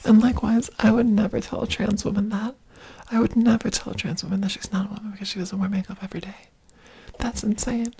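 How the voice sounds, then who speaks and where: Woman, ASMR whisper, very breathy, gravelly fry, earnest with giggles. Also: medium pitch, flat delivery, quick pace, warm tone. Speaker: ASMR creator.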